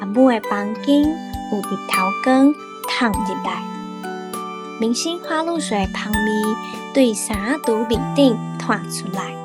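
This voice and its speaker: A woman with a Taiwanese accent gives a scripted picture book reading. She goes very slowly from phrase to phrase, and loud, tinkling children's music plays behind her. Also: on the high side, animated.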